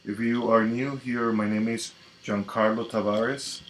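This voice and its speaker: A man from the Dominican Republic with a Dominican Spanish accent, a native Spanish speaker, hosting a live tarot reading in a slow, reflective, casual way. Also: on the low side, steady tone. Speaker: tarot reader. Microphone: computer mic on a live stream. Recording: echoey room with faint noise.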